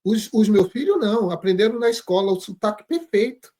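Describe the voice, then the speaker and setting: A man, interviewing and talking in an animated, emphatic way that often stumbles and restarts. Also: sits high, a little fast. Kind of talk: conversational interview.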